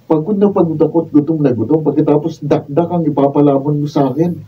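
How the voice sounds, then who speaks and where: A man's voice: fairly high pitch, quick pace, slightly rough. A man acting a patient but exasperated young boyfriend in a Filipino radio drama, protesting in a Filipino accent. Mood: weary, defensive. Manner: pleading, protesting, aggrieved. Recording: distant, dull, noisy behind-the-scenes room recording.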